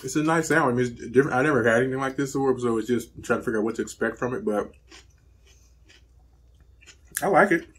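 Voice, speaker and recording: A man in his thirties hosting a home cooking channel, talking through a recipe in a relaxed, rambling way with lots of fillers. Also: mid-range voice, racing, husky. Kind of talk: casual cooking voice-over and taste test. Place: indoor home set with plain backdrop.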